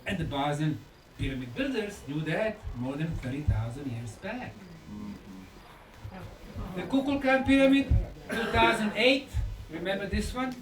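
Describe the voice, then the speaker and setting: A man giving a conference lecture in short, deliberate phrases with dramatic pauses and rhetorical questions. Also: sits high, lively intonation, crowd noise. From Bosnia. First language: Bosnian. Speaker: lecturer and researcher. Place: indoor lecture room at a conference. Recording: echoey room, distant mic, faint noise.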